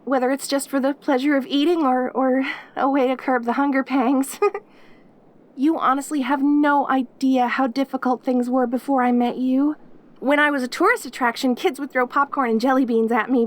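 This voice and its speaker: A woman's voice: high voice, fairly even intonation, normal speed, lightly acted. A woman acting a lonely, clingy caged demon girl in an audio roleplay, perky and cutesy, gushing and stammering at the listener. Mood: cheerful, wistful.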